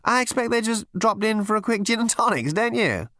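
A man's voice: sits high, big pitch swings, fairly quick, bright, forceful tone, dry recording, clean studio sound, pitched above the performer's own voice, full character voice. A man voicing a mild, bemused, chummy and idle spaceship captain in a comic science fiction audiobook. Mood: bemused, genial. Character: vague, genial, idle spaceship captain lounging in bath.

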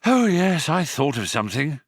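A man's voice: fairly high pitch, big pitch swings, moderate pace, no room sound, voice pushed up. A man voicing a flippant, resigned male alien traveller from a storybook, with a breezy, dry, offhand manner about doom. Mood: breezy, rueful.

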